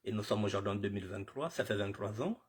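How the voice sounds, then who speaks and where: Man, husky voice, stuffed-up with a cold, live show host, formal and repetitive. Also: on the low side, quick pace. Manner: formal, repetitive greetings, mixing in Lingala. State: has a cold.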